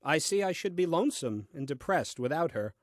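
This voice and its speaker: Man acting as first man on earth, self-important, grumbling, dry humor, lively intonation, close dry recording, comic audio play. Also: on the high side, fairly quick, dry recording. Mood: smug. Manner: dry, fussy, pompous deadpan delivery.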